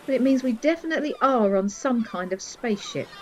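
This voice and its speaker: A woman's voice: a little high, animated, fairly quick, crowd noise, close to an everyday voice, poor audio. A woman playing an upbeat, self-satisfied space hitchhiker, breezy and conversational, in a comic sci-fi audio drama. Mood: curious, excited.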